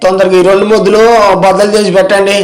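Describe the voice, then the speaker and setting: A man's voice: high voice, flat delivery, fast, a little mellow, lightly acted. A man voicing a talkative, grumbling village labourer in an acted moral story, with a rustic, gossipy way of complaining. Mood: grumbling, impatient. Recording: echoey voice over background music.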